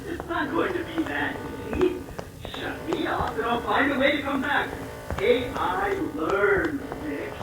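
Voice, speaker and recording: An actor playing a rogue artificial intelligence in a sci-fi audio drama, taunting and gleefully menacing with drawn-out words. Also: sits high, very animated. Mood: taunting threat. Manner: taunting, drawn-out, chuckling delivery. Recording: distant, heavily echoing, music underneath.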